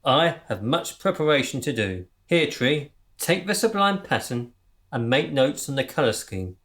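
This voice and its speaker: A man's voice: medium pitch, very animated, moderate pace, a bit bright. A man playing an earnest, pompous gang leader who is easily flustered, in a radio comedy drama. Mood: pompous, businesslike. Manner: stammering and spluttering when worked up, otherwise pompous and precise.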